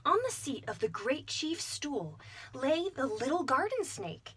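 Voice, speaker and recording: A woman's voice: sits high, measured pace, slight background noise. Woman reading a children's folktale aloud. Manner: gentle, expressive storytelling. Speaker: storyteller, voice actor. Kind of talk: children's story read-aloud.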